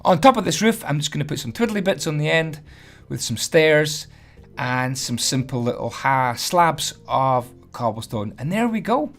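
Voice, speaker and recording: Hoarse man with a higher voice. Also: big pitch swings, normal speed, a bit bright. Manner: chatty, self-deprecating, trips over words. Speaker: Minecraft building tutorial host. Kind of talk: casual tutorial voice-over.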